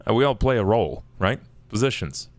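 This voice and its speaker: A man playing a cool, detached, cagey schemer in a tabletop game, with a dry, casual, unhurried manner. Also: on the low side, lively intonation, pitched above the performer's own voice, natural voice. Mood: cool, dismissive.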